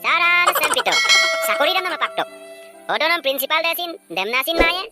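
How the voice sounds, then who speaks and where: A woman's voice: very high-pitched, animated, moderate pace, loud music behind the voice. A woman voicing a cheeky schoolchild who answers back to the teacher in a cartoon comedy.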